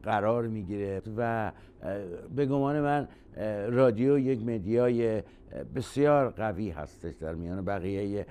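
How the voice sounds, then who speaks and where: A man giving considered interview talk in a halting way with formal wording. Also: lowish voice, dark tone, clear, resonant tone.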